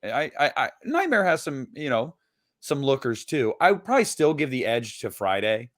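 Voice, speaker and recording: A man's voice: mid-range voice, big pitch swings, normal speed, fairly bright tone. A man co-hosting a podcast in casual chat, rambling and swearing casually with many fillers. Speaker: podcast co-host.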